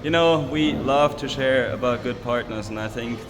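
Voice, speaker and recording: A man's voice: mid-range voice, normal speed, big-room sound. A male host interviewing a guest at a trade fair, chatty and enthusiastic, asking leading questions. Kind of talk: casual interview. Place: busy trade fair hall.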